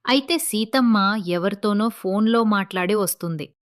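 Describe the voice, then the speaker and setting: A woman's voice: mid-range voice, normal speed, no echo, clean studio sound. A woman narrating a scripted moral story as its storyteller.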